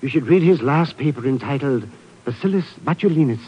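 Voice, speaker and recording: Husky, flustered old-radio professor. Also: male voice, medium pitch, animated, brisk. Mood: flustered, effusive. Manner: dithering, repetitive, easily distracted. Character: flustered, absent-minded male university professor. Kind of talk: 1950s radio drama acting. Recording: muffled old radio recording.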